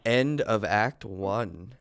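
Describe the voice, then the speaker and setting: A man's voice: fairly low pitch, animated, drawn-out words, gravelly, clean tone. A man chatting casually as a participant in a play reading. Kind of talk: casual chat.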